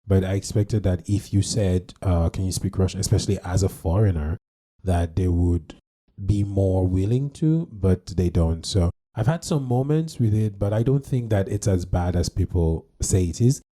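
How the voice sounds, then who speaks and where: A man with a very dark, mellow voice that sits a little low. He talks casually as a podcast co-host, speaking English as his first language. He is opinionated and often stops to correct himself. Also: normal speed, hardly any pauses. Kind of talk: casual discussion.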